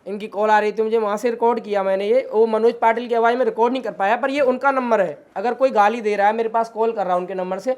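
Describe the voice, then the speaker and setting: A man's voice: high voice, steady tone, fast talker, few pauses. A man giving an insistent, repetitive spoken account of how he was wronged. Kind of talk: spoken account of threats received.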